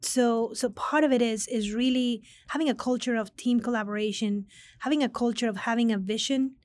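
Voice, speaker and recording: A woman's voice: on the high side, fairly even intonation, brisk, a little mellow. A middle-aged woman from Mexico, a guest executive talking earnestly in an interview and often restarting her words. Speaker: guest, business consulting executive. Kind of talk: conversational interview.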